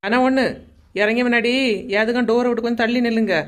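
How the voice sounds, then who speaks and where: A woman's voice: fairly high pitch, level tone, fast talker, close to an everyday voice. A woman playing a teasing, bossy young village woman in a comedy cartoon, with a Kanyakumari Tamil accent. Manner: mocking, bossy. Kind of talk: comedy cartoon dialogue in local slang.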